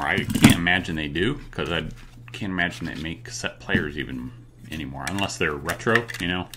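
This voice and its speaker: A middle-aged man talking casually and thinking aloud as he hosts a hands-on teardown video. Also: low voice. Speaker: video host. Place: indoors at a tabletop workspace. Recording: noticeable background noise.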